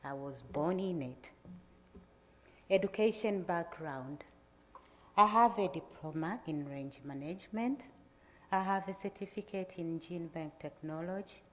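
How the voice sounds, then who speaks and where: A middle-aged Tanzanian woman with a Tanzanian accent, giving a measured, plain presentation to an audience, with frequent pauses. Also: fairly low pitch. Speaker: agricultural researcher giving a talk. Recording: reverberant lecture hall.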